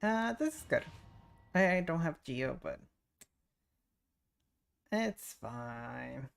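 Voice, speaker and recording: A woman livestreams a game with casual, playful self-talk. Her voice is low with a lot of creak, and her short reactions come at a slow pace. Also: big pitch swings. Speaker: game streamer playing through a story quest.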